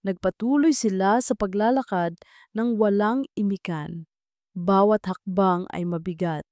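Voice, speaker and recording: A woman's voice: mid-range voice, mellow, no echo, clean studio sound. A woman reading a scripted dramatic love story as a storyteller.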